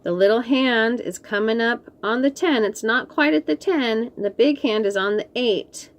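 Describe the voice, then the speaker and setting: A woman reading aloud to young children as host, expressive and encouraging, with chatty, teacherly asides. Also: fairly high pitch, normal speed. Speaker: children's story reader and host.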